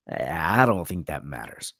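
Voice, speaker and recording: A man's voice: extremely low pitch, sing-song, a little slow, vocal fry, fairly bright tone, no echo, studio quality, full character voice. A man at a script table read playing a brash, sarcastic young delinquent brother, mouthy and emphatic. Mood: sardonic.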